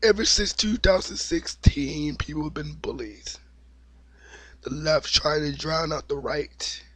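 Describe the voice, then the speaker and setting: A man with a cold and a slightly raspy voice, giving an opinionated, repetitive monologue as the host of his own video. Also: a little high, a little slow, a bit bright, no room sound. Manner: opinionated, preachy, repeats himself. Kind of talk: unscripted monologue vlog.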